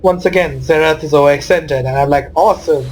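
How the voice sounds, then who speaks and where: A man's voice: on the high side, a little fast, slight background noise. A man giving informal, wry commentary on his own video game match, voicing his reactions as he goes.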